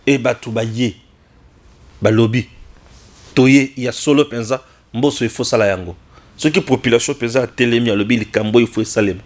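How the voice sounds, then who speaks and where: A man's voice: medium pitch, fairly quick, long pauses. A man with a Congolese accent gives informal political commentary, emphatic and repetitive, often asking rhetorical questions and switching between two languages. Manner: emphatic, repeats phrases, asks rhetorical questions.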